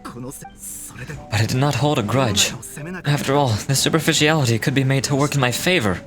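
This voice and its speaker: A man's voice: medium pitch, normal speed, natural voice. A man voicing a bitter, self-justifying researcher in a video game, telling his story dramatically, like a scorned impostor. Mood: sorrowful resentment. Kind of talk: scripted video game voice acting. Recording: music behind the voice.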